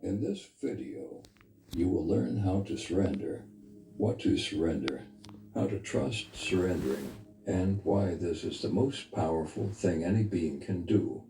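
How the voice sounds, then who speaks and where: A man leading a guided meditation as a spiritual teacher, speaking in a soft, intimate, earnest and unhurried way. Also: sits low, expressive. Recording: reverberant voice over background music. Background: music.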